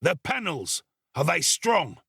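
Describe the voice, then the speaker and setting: A man's voice: on the high side, very animated, slow pace, creaky, bright, forceful tone, slightly rough, no room sound, studio quality, pitched above the performer's own voice, heavily acted. A man doing a fierce desperate ruffian. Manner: fierce, menacing. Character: brutal desperate grown male murderer, fierce. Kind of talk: audiobook character voice.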